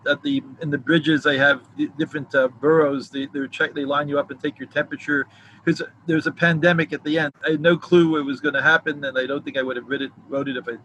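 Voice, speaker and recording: A man in his sixties from New York City, speaking in a somewhat high voice. He rambles reflectively, full of fillers and restarts, as he answers questions about his writing. Faint background hiss runs under him. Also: pushed and bright, poor audio. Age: 60s. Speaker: novelist, guest. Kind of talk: casual video interview.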